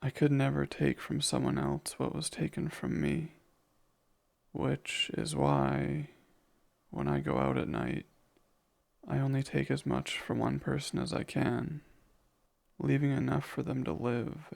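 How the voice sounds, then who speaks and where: Man playing a gentle vampire with heavy creak. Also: medium pitch, exaggerated voice. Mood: solemn, confessional. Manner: hesitant, tender, formal careful phrasing. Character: kind, gentle, remorseful male vampire comforting someone. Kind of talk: ASMR audio roleplay, soft intimate monologue.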